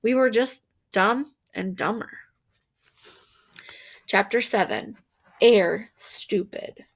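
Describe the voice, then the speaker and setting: A woman reading a children's novel aloud in an expressive, animated storytelling manner, with emphasis on key words. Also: medium pitch, takes it slowly. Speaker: storybook reader.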